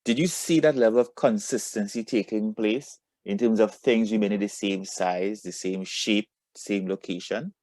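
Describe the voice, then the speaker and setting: A man speaks as a teacher in a fairly low voice. He guides students with questions and clear instructions, stressing key words in an encouraging way. The sound is dry, with little room echo. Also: normal speed, hardly any pauses, a little mellow.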